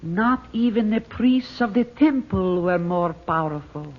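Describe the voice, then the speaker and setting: An actor playing a devoted Egyptian manservant, formal, solemn and deferential, in a vintage radio drama. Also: male voice, sits high, animated, a little slow. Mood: awed, reverent. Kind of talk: 1940s radio drama acting. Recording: muffled, hissy old radio recording.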